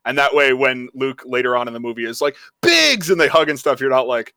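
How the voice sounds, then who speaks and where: A man's voice: medium pitch, lively intonation, fairly quick, bright, forceful tone, dry recording. Rambling man in a casual chat, dry sound. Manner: rambling, peppers talk with "like". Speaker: podcast panelist.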